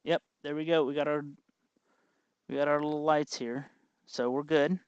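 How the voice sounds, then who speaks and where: A man hosting an informal hands-on electronics tutorial, explaining step by step in a halting, folksy way. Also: on the high side, steady tone, smooth, dry recording, dull audio. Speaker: tutorial host.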